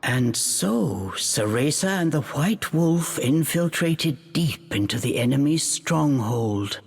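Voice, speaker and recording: A woman playing a storybook narrator, telling a fairy tale in a video game in a measured, dramatic way. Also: low-pitched, animated, creaky, breathy edge, heavily acted. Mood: storytelling. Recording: music behind the narration.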